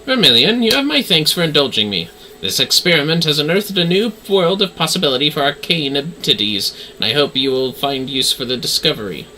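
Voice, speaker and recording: An eager, courteous game scholar, voiced by a man, speaks in formal archaic phrases. The voice is raised and sharply bright, with animated swings of pitch over faint noise. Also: brisk, poor audio. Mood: grateful.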